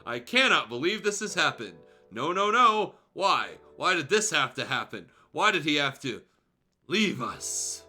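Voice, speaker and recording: A man's voice: a little high, big pitch swings, unhurried, pushed and bright, faint music behind, exaggerated voice. Man doing a tearful young girl dinosaur. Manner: pleading, repeated anguished questions. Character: young female cartoon dinosaur, tearful and grieving.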